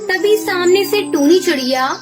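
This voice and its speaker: High-voiced woman narrating a kids' tale. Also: animated, moderate pace. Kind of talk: scripted storytelling for children. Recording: music playing behind.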